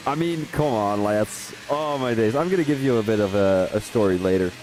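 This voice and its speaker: A man from Belgium, doing live race commentary for a sim racing league, rambling in chatty asides and rising to excited calls on overtakes. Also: on the low side, normal speed, slight background noise, low-quality recording.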